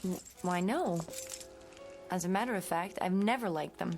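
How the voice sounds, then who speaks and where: An actress playing a kind, slightly uneasy schoolteacher in her twenties in a radio drama, talking in a gentle, coaxing way. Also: fairly low pitch, normal speed, natural voice. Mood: friendly. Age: young adult. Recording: radio drama with prominent music underneath.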